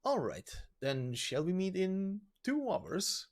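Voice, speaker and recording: Man putting on a higher, breezy young companion. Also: big pitch swings, slow pace, exaggerated voice. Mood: reassuring. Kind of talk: video game story voice acting.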